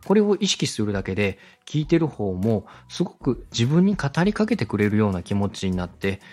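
A man's voice: lowish voice, sing-song, fairly quick, a little mellow. A man hosting a podcast, explaining things politely and conversationally and speaking straight to the listener. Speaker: podcast host and radio director giving advice. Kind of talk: explanatory podcast teaching with acted example questions. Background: background music, faint.

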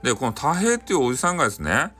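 A man with a Hakata dialect informally telling a bedtime folktale in a folksy, conversational way with halting phrases. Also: medium pitch, sing-song, bright, forceful tone, soft background music. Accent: Hakata, Japan. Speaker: storyteller.

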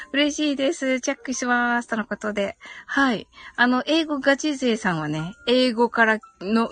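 High-pitched, giggly woman chatting on stream. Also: fairly bright tone. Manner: giggly, frequent laughter while talking; chatty, responsive, frequent short acknowledgements. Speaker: host of a live audio stream. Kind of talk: casual chat, reading out listener comments.

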